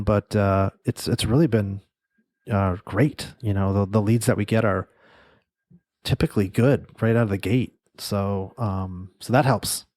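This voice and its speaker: A man's voice: on the low side, a little mellow, dry recording. A man with a nasal voice hosting a solo podcast, talking casually and rambling with many fillers and restarts into a studio microphone. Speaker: podcast host and agency owner.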